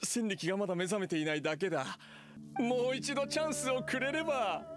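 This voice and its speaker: A man's voice: high voice, a little fast, a bit bright, faint music behind. A man playing a pompous, flustered fraud posing as an immortal in a Japanese anime game. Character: pompous, cowardly bluffing fake male adeptus.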